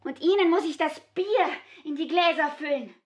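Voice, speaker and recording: An actress playing an agitated, proud young barmaid in a literary radio drama, speaking with theatrical emphasis. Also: female voice, high voice, moderate pace, over loud music, natural voice. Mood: bitter disgust. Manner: theatrical, emphatic, repeating phrases.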